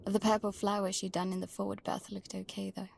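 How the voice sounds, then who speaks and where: Hushed, wary young girl narrator. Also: medium pitch, little pitch movement, fairly quick, slight background noise. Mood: wistful. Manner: hushed, breathy, often near whispering. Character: wary, protective young girl narrating her life at sea. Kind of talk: first-person scripted audio drama narration.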